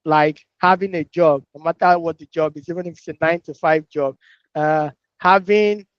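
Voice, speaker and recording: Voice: mid-range voice, steady tone, normal speed, no room sound, muffled recording. A person in a casual discussion, speaking reflectively and hesitantly, often repeating words while working through a thought.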